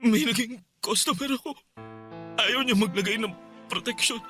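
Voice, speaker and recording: An actor playing a guilt-ridden young lover in a Tagalog radio drama, confessing haltingly and emotionally to his girlfriend over background music. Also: very high voice. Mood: ashamed. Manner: halting, stammering, emotional delivery. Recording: dramatic music bed behind voice. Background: loud background music.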